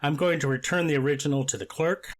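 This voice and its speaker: A man playing a stern, measured judge who speaks firmly and deliberately in a courtroom transcript reading. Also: a little high, steady tone, close to an everyday voice. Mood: formal, courteous. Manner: deliberate, firm, authoritative. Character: stern, measured male judge presiding over sentencing.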